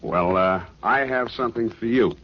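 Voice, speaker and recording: An actor in a 1940s radio drama playing a hard-boiled private detective, dry, sardonic and clipped. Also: male voice, mid-range voice, moderate pace, slight background noise, natural voice. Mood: brusque, sardonic. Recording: dull old radio recording, music behind.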